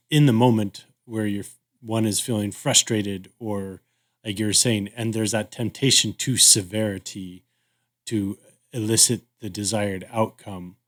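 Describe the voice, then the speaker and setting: A man co-hosting a reflective conversation, speaking thoughtfully and haltingly as he searches for words. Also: lowish voice. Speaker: podcast host.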